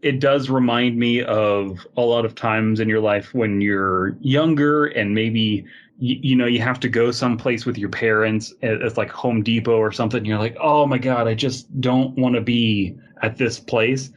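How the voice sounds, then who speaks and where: A man co-hosting a podcast in casual comedic chat, riffing in a rambling, animated, profane way. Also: medium pitch, moderate pace, lo-fi sound. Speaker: podcast co-host. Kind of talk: casual comedic chat with read-aloud passages.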